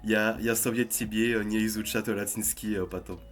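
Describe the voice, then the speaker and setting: Young man, low-ish voice, halting learner on a webcam mic. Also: fairly even intonation. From France. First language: French. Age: 20s. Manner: halting, hesitant with many fillers. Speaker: language learner on a video chat. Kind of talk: casual video chat in a learned language.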